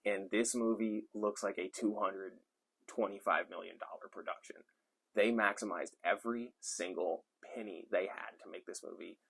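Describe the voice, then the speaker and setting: A man's voice: low-pitched, gravelly, a bit bright, husky, poor audio. A young man giving an enthusiastic, rambling movie review off the cuff, with frequent fillers. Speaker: film reviewer. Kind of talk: casual unscripted movie review.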